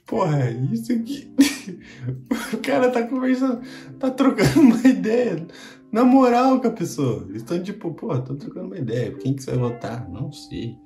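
A man's voice: high voice, sing-song, normal speed, gravelly, mellow. A man with a Brazilian accent hosting a podcast, giving casual, slangy reaction commentary full of swearing. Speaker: podcast host. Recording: echoey room with music behind.

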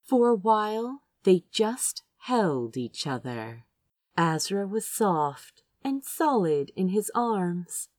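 A woman reading fiction aloud as an expressive narrator, with dramatic, deliberate phrasing and emphasis on key words. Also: mid-range voice, no room sound, studio quality. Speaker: fan fiction reader, narrator.

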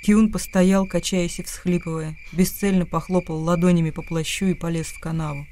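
A man's voice: sits high, fairly even intonation, normal speed, warm tone, a little hiss or hum, lightly acted. A man reading scripted literary narration as the narrator of a radio drama.

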